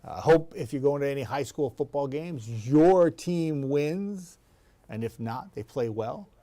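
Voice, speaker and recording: A man with a raspy voice, a TV meteorologist chatting casually and rambling to viewers. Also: on the high side, expressive, a little slow, dark tone. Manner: chatty, rambling, laughs easily.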